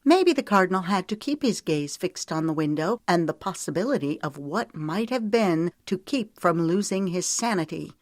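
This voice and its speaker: A woman narrator voicing a stubborn, emotional woman character in an audiobook, earnest and quick to retort. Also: on the low side, lively intonation, no room sound, studio quality, natural voice. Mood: defiant, pleading. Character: stubborn, emotional woman pleading for her freedom.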